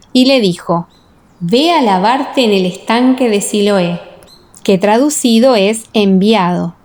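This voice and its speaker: A woman narrating a scripture audiobook in a measured, formal, dramatic storytelling style. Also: a little high, a little mellow.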